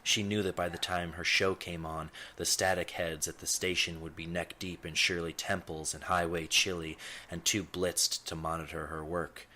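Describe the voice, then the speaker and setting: A man playing a self-important, brooding ponderer, narrating his thoughts in wordy, deadpan scripted first person. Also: sits low, normal speed, a little hiss or hum. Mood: brooding, musing.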